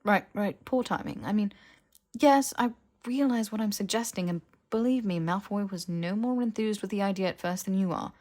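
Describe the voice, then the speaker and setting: A woman's voice: mid-range voice, moderate pace, a little mellow, close to an everyday voice. A woman voicing a flippant, defensive young man who blurts and stammers, in a fiction audiobook. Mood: earnest, persuading. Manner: stammering, protesting.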